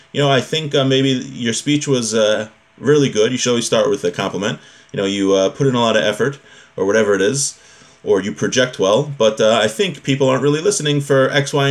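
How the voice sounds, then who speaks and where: A man, giving an informal religious lesson in a conversational, explanatory way. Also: medium pitch. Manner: conversational, often checks in with rhetorical questions. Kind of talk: informal lecture, reading a text and explaining it. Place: small home room with bookshelf.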